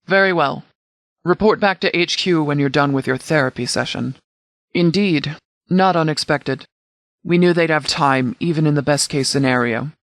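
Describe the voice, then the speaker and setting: A man's voice: a little high, fairly bright tone, dry recording. Man playing a formal sheriff, dry close sound. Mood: serious, measured. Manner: formal, measured, authoritative. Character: stern, formal sheriff and military commander. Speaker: sheriff and commander. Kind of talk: scripted game dialogue, mission briefing.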